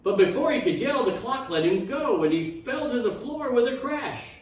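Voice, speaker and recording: Male storyteller, rhyming kids' tale, expressive and animated, distant from the mic, strong room echo. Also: very high-pitched, normal speed. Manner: theatrical, expressive reading. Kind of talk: rhyming children's storytime narration.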